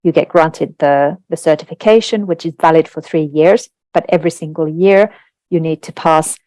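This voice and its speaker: Low-voiced Finnish woman presenting a webinar. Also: moderate pace, warm tone, no echo. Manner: explanatory, with frequent fillers and tag questions. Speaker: webinar presenter on translation standards.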